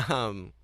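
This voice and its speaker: A man's voice: sharp tone, no echo. A man co-hosting a casual podcast discussion, talking in a rambling, self-repeating way with a readiness to chuckle. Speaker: podcast co-host.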